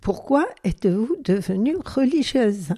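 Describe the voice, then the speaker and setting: A woman's voice: on the high side, animated, measured pace, mellow. A woman interviewing a guest on the radio, asking long, searching questions with hesitations.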